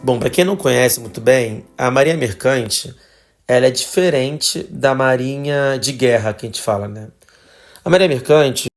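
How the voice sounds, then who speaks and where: A man in his thirties with a Carioca accent, telling his life story as a podcast guest in a relaxed, conversational way. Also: mid-range voice, normal speed, soft background music. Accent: Carioca Portuguese. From Rio de Janeiro, Brazil. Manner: relaxed, conversational, with fillers and trailing phrases. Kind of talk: casual personal storytelling.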